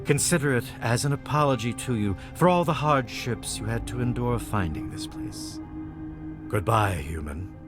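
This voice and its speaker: A man voicing a formal, solemn leader of a vampire clan in a video game, speaking in measured, courteous phrases. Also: mid-range voice, expressive, close to an everyday voice. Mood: solemn, resigned. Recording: music playing behind the voice.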